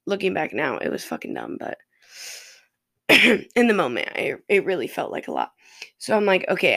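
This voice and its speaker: A raspy, fairly deep-voiced person from Ohio, hosting a podcast in a loose, profane, rambling monologue. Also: fairly bright tone, no echo, studio quality. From Ohio, USA. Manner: loose and profane, drifting between topics. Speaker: podcast host.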